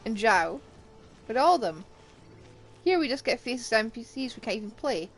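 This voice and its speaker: A woman's voice: a little high, sing-song, normal speed, faint music behind. A woman game streamer giving casual live commentary as she plays.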